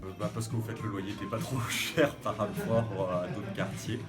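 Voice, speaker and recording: A man's voice: lowish voice, steady tone, moderate pace, roomy sound, loud music behind the voice. A young male student answering interview questions hesitantly, with many fillers. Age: young adult.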